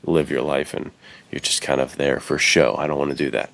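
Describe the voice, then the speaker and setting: Super deep voiced guy w gravelly fry, bro-y slangy podcast chat. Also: level tone, brisk, a bit bright, slight background noise. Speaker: podcast co-host.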